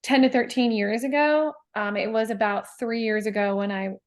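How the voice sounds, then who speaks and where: A woman poet talking in a casual interview, thoughtful and halting, with many fillers and restarts. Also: fairly high pitch, fairly even intonation. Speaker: guest poet.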